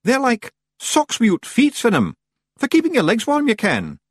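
A man voicing an excitable little male fairy clan leader with a Scottish accent, nervous and boastful, in an audiobook. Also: very high voice, moderate pace, no echo, studio quality, pitched above the performer's own voice, heavily acted. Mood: eager, explaining. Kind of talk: audiobook character voice.

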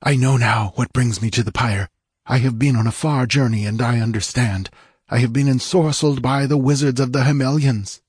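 A man's voice: steady tone, normal speed, darkish tone, breathy edge, no room sound, clean studio sound, close to an everyday voice. A man reading an audiobook, voicing a dying young king whose soul is being dragged away by wizards, pleading and commanding. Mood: urgent commanding. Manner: desperate, pleading, high-pitched strain.

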